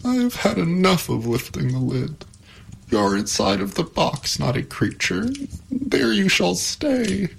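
A man's voice: medium pitch, very animated, unhurried, gravelly, darkish tone, pitched above the performer's own voice. A man voicing an impulsive, earnest young girl in a storybook, speaking emotionally. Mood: tearful, indignant.

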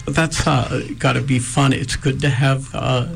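Gravelly, halting man interviewer. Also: mid-range voice, level tone. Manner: halting, many "uh" pauses between phrases. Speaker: interviewer host. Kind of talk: casual interview with students.

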